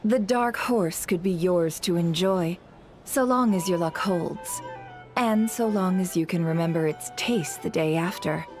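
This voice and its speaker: A woman voicing a poised, formal and teasing hostess in a video game, speaking in measured phrases. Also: fairly low pitch, darkish tone, faint music behind, natural voice. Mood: composed, grand.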